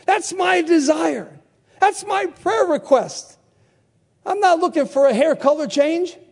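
Older guy preaching, really high voice, lots of call and response, repeats himself for punch. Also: animated, slow pace, creaky. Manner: call-and-response, emphatic repetition. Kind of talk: sermon.

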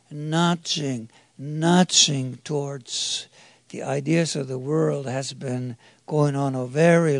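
A man preaching a sermon to a congregation, emphatic and halting, with restarts and questions put to his listeners. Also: on the high side, dry recording.

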